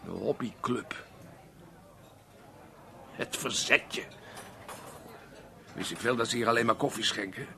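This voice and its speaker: An actor playing a drunk, aggrieved unemployed man rambling haltingly at a bar in a radio play. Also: medium pitch, creaky, bright, forceful tone, exaggerated voice. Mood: tipsy, grumbling. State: drunk (acted). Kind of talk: Dutch radio play monologue and dialogue.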